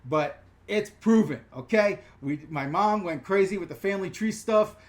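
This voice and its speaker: A middle-aged man from New York with a New York accent, hosting a casual live Q&A, talking in an animated, profane, rambling way with lots of digressions. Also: sits high, moderate pace. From New York/New Jersey. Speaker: channel host answering live chat questions.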